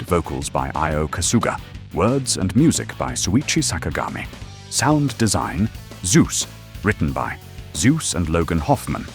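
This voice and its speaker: A man reading scripted, dramatic narration as the narrator of an audio drama. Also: deep voice. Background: music.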